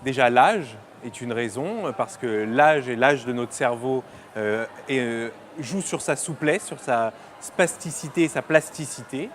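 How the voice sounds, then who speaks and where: Calm man explaining as a guest. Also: medium pitch, measured pace, slight background noise. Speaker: doctor guest. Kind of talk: explaining on a talk show.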